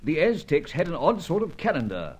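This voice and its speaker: An older gentleman spymaster in a radio serial. His pitch moves freely as he speaks in pompous, understated phrases with hesitant little ums. He is ruthless under a jovial, courteous surface. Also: huge pitch swings, fairly quick, breathy edge, heavily acted. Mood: measured, explanatory. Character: urbane older British spy chief, ruthless yet jovial. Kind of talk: old-time radio serial acting.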